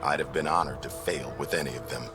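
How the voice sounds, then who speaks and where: An actor playing a gruff, plain-spoken middle-aged frontier mayor in a video game, speaking in clipped, resolute, folksy phrases. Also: male voice, quick pace, loud music behind the voice. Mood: solemn, resolute. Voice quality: very deep voice. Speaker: colony mayor character. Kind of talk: video game dialogue acting.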